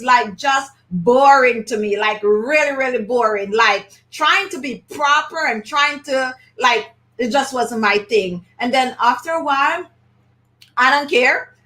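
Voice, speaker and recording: Bright, high-voiced Jamaican woman. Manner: outspoken, laughs often, reads comments aloud. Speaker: beauty channel host. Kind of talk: live-stream chat answering comments.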